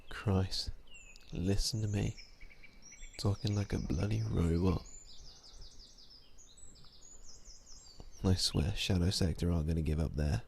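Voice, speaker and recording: A man playing a weary, cynical vampire-hunting sniper in an ASMR audio drama, speaking in a brooding, low-key, deliberate way. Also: fairly even intonation, gravelly, a little mellow, slight background noise. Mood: weary, bitter.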